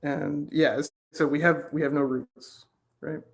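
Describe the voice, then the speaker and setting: A man's voice: on the high side, flat delivery, measured pace, gravelly. A man leading a casual group discussion, thinking aloud in an informal, tentative way. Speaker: discussion facilitator.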